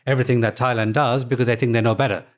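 A middle-aged man, the guest of an interview, talking in a relaxed, thoughtful way with plenty of "you know" fillers. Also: mid-range voice, racing. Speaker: guest, author of language books.

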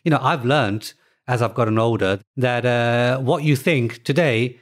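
A man's voice: medium pitch, a little slow. Middle-aged guy being interviewed, easygoing, says you know a lot. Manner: thoughtful, lots of "you know" fillers. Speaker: guest, author of language books. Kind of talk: relaxed interview talk.

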